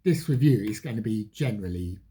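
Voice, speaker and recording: A film reviewer giving a retrospective commentary, phrasing things deliberately and stressing key words. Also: mid-range voice, expressive, warm tone, slightly rough. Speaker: film reviewer, channel host.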